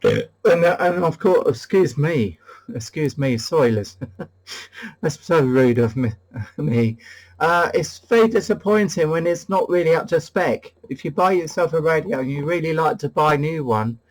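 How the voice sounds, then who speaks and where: A man's voice: fairly high pitch, expressive, normal speed, low-quality recording. A British man hosting a CB radio video, chatting casually and hesitantly, often repeating his phrases. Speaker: host of a CB radio review video. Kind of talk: casual two-way radio chat.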